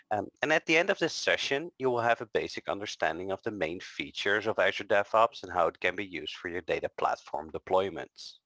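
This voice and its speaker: A man co-presenting a technical session, friendly, often pausing with ums and uhs. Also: sits low, a bit bright, dry recording. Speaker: conference co-presenter. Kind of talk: scripted tech presentation.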